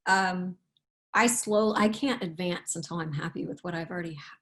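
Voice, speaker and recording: A woman speaking as an author in a casual interview, thoughtful and halting, often restarting and rewording her sentences. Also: lowish voice. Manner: halting, thoughtful, with repeated self-corrections. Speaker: picture book author being interviewed.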